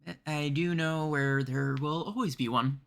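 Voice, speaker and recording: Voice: mid-range voice, steady tone, unhurried, creaky. A streamer from Canada giving casual live video game commentary, chatting and thinking aloud in short remarks.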